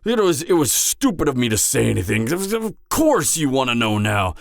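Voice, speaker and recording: Male actor as anxious half-giant sellsword, a little drunk, medium pitch, halting and stammering, intimate fantasy roleplay, very dry recording. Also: very animated, gravelly, dry recording. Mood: troubled, conflicted. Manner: hesitant, stammering, trailing off, sighing. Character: tipsy, anxious, awkward part-giant mercenary confiding in a close friend.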